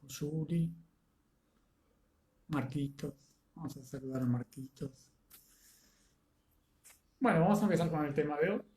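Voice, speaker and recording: Casting: Rioplatense Spanish man, teacher with a cold, slow and unhurried, echoey room. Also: fairly high pitch, mellow. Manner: greets attendees by name, halting with many fillers. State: congested with a cold and fever. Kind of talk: live online lecture.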